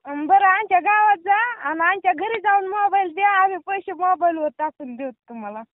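A woman with a very high voice speaks over a thin, tinny phone line. She sounds amused and suspicious as she answers an unknown caller. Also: animated, moderate pace, no room sound. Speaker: prank call target. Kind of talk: phone conversation. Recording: tinny phone call recording.

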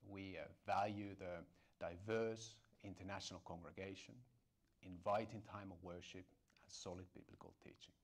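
A middle-aged man giving a formal, measured scripted self-introduction to camera on a lapel mic. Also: sits low, fairly even intonation. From Finland or Estonia. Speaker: church elder candidate. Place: indoor studio set with dark backdrop.

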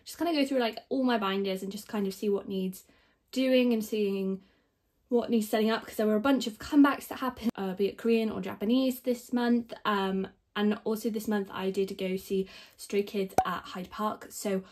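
A young woman whose voice is still croaky from screaming at a concert, talking in a loose, chatty, rambling way. She thinks out loud and makes little self-deprecating asides while she works at a table. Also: mid-range voice, steady tone, moderate pace. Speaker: k-pop collector vlogger. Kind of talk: casual rambling narration over binder organizing. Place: indoors at a table, filming the binder from above.

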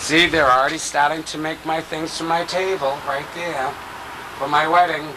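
Deadpan man on noisy camcorder street tour. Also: fairly high pitch, moderate pace. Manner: deadpan mock-tour patter, teasing. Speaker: comic home-video host. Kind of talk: joking walking tour on camcorder. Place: outdoors on a town street at night. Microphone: camcorder mic. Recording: noisy 1990s camcorder audio.

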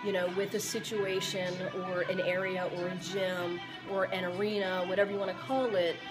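A woman's voice: medium pitch, level tone, moderate pace, music in the background. A woman in her forties who owns a gym, giving casual fitness advice to the camera in a rambling way, full of fillers and restarts, recorded indoors in her gym. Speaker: gym owner and fitness coach. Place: indoor gym among weight machines. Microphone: camera or phone at close range.

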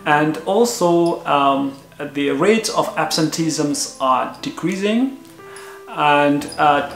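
An earnest man from Indonesia, in his thirties, explains a topic to camera from his living-room sofa, pausing on frequent "uh" fillers. His voice sits a little high with a gravelly edge. The room sounds echoey, with soft music underneath. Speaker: vlogger. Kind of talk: explanatory video talk to camera.

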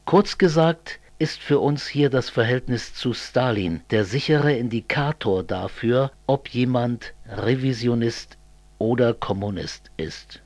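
A man playing an earnest, brooding communist in an amateur radio play, speaking in a measured way. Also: medium pitch, no echo.

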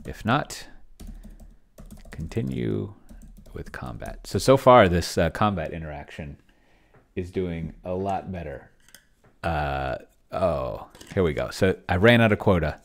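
A man's voice: deep voice, animated, measured pace, creaky, long pauses. A middle-aged man giving casual livestream commentary, rambling and thinking aloud as he types. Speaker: host of a solo tabletop game video.

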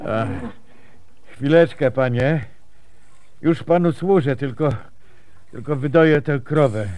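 A man's voice: a little high, slow pace, darkish tone, clean tone. An actor playing a talkative, pious, good-natured milkman in a folksy, chatty way in a Polish radio drama. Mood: busy, obliging.

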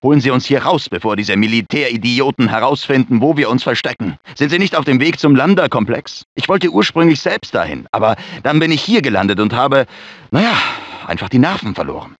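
Anxious male game scientist, fretful, earnest, theatrical, dry sound, muffled low-fidelity clip. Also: lively intonation, a little fast, fairly bright tone, dry recording. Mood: nervous. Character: anxious male research scientist in a sci-fi disaster. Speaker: video game voice actor. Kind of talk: dramatic game character dialogue, German dub. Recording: dull lo-fi game audio.

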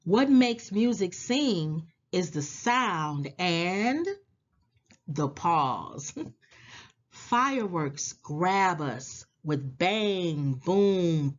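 A woman reading a children's storybook aloud in a deliberate, animated manner, drawing out words and pausing. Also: lowish voice, highs cut off. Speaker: children's book read-aloud host. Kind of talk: expressive storybook read-aloud for young children.

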